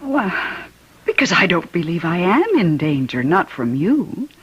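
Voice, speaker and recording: An actress playing a devoted, disbelieving wife in an old-time radio drama, pleading and insistent, with a theatrical delivery and a husky voice. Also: female voice, lowish voice, very animated, measured pace, fairly bright tone, full character voice. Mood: tender, reassuring. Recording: dull vintage radio recording.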